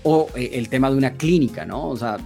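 A man's voice: mid-range voice, expressive, a little fast, vocal fry, darkish tone, soft background music. A man with an Ecuadorian accent giving an informal sales lesson with role-played examples, often checking in with short tag questions. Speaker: sales and marketing trainer.

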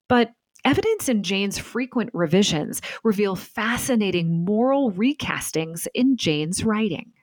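A woman presents as a podcast host, moving through a mid-range pitch with lots of melodic variation. The sound is dry and close, with very little room. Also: no echo. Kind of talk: scripted narration and interview talk.

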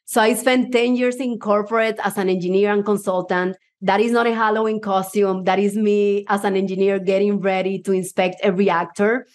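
A woman's voice: mid-range voice, steady tone, normal speed, few pauses. A woman from Cuba with a Puerto Rican accent, Spanish her first language, giving an enthusiastic motivational talk to a live audience as a mindset coach. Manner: enthusiastic, personal storytelling.

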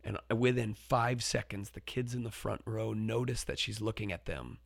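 A man's voice: fairly low pitch, normal speed, creaky, no echo. Earnest male teacher, dry close sound. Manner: earnest, repeats words as he restarts phrases; builds up to loud, forceful quoted shouts in stories. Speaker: teacher and podcast host. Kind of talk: reflective podcast monologue with storytelling.

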